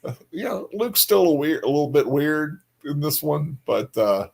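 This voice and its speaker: A man co-hosting a livestream in casual chat, giving brief, hesitant, low-key remarks. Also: sing-song, unhurried, gravelly, darkish tone. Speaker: livestream co-host.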